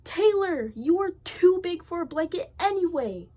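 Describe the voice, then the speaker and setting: A woman's voice: extremely high pitch, expressive, moderate pace, warm tone, voice pushed up. A woman voicing an irritated, dismissive teenage big brother in a storybook, scolding and exasperated.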